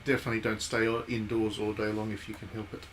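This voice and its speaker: Man streaming over steady background noise. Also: lowish voice, fast talker. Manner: chatty, musing aloud, reads game text aloud. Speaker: game streamer. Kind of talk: casual live visual novel playthrough commentary.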